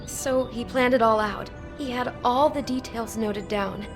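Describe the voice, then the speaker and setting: A woman's voice: on the high side, a little slow. An anxious, worried young woman. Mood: thoughtful. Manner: worried, expressive, stammering restarts. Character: anxious young woman, kind, easily unsettled. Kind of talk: video game cutscene acting. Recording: game audio with music behind.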